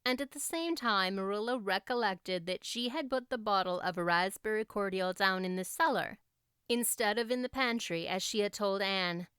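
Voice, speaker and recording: A woman's voice: medium pitch, normal speed, a bit bright, clear, resonant tone, no room sound, studio quality. A woman reading scripted story narration as an audiobook narrator.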